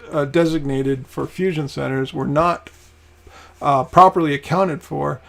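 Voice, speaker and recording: A man's voice: on the high side, creaky. A young adult man from Maine, a private detective, talking casually with many ums and restarts. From Maine, USA. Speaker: podcast host. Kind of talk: casual talk.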